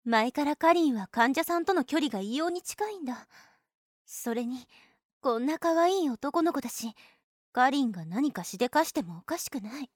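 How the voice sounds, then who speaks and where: A woman acts out a young nurse for an audio drama in a high, anime-style voice. She is blunt and boyish in her phrasing, yet protective and clingy. The sound is very dry, with no room around it. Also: animated, moderate pace, dry recording. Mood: suspicious, stern. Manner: blunt boyish phrasing, doting toward the patient. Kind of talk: Japanese anime-style situation voice drama acting.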